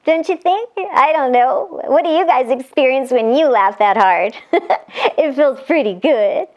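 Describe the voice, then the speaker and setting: A woman doing an animated read-aloud for children, exclaiming, chuckling and talking directly to her young listeners. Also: high voice, a little slow, dull audio. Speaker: children's storybook reader and channel host.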